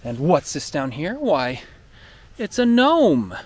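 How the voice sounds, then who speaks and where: A man's voice: on the high side, wildly swooping pitch, takes it slowly, slightly rough. A man giving a playful walk-through of a decorated outdoor trail at night, narrating in a theatrical storybook manner while holding the camera.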